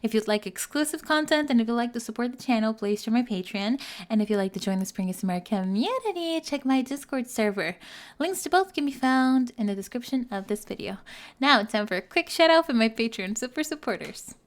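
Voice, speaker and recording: Woman doing her channel outro, reading shoutouts, kinda high voice, talking out loud. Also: animated, fairly quick. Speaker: ASMR channel host.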